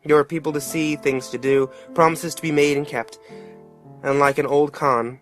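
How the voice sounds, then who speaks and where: A man's voice: on the high side, fairly even intonation, normal speed, soft background music, natural voice. A man playing a plain-spoken working-class father who narrates a dramatic tale in an earnest, colloquial way. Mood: reflective.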